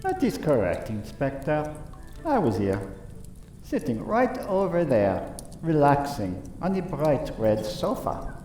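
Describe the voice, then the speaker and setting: A man playing an easygoing French gentleman witness in a radio play, theatrical and unbothered as he recalls events. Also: fairly high pitch, wildly swooping pitch, slow pace, soft background music, heavily acted. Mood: easygoing, obliging. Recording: echoey distant room, faint noise.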